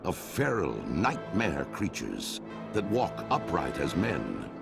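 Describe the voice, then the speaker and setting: A man's voice: extremely low pitch, huge pitch swings, slow pace, creaky, loud music behind the voice, full character voice. A man playing a grave, commanding storyteller, narrating a fantasy game cutscene in a portentous, theatrical way. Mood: grave, ominous. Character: solemn, commanding male storyteller of an epic fantasy war saga.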